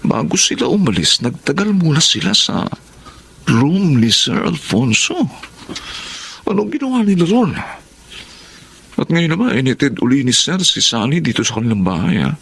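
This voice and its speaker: An actor with a Filipino accent playing a suspicious, meddling office employee, conspiratorial and deferential, in radio drama style. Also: male voice, a little high, big pitch swings, normal speed, vocal fry, fairly bright tone, slight background noise, heavily acted.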